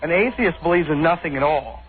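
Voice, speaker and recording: A man's voice: a little high, animated, fairly quick. A man calling in to a radio show, debating casually and earnestly contradicting the host. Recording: tinny phone line, faint music behind.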